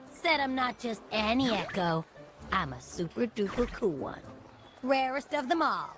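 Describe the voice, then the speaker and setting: Game role: pint-sized magical critter, female, high-pitched and animated, smug, cute, full of bragging. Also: a little slow, faint music behind. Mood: smug, boastful. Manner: boastful, smug. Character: tiny boastful female echo creature, cute and cheeky. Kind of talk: cute game creature voice acting.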